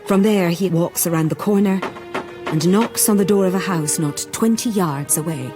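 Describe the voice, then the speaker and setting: An actress as a composed storyteller, giving scripted dramatic narration for a gothic radio play. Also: female voice, on the low side, normal speed, lightly acted. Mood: suspenseful. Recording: loud music under voice.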